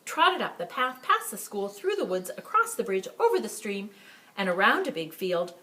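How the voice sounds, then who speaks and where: A woman reading a picture book aloud to children as a library storyteller, with expressive, emphatic phrasing and chatty asides. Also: medium pitch, normal speed, low-quality recording. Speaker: story time reader for a school library.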